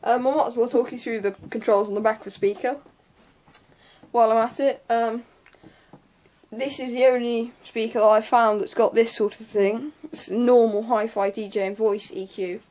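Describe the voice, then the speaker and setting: A woman's voice: a little high, steady tone, normal speed, a little mellow. A young woman gives an informal, unscripted hands-on tutorial in a hesitant, offhand way, trailing off into asides. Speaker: sound tech tutorial presenter.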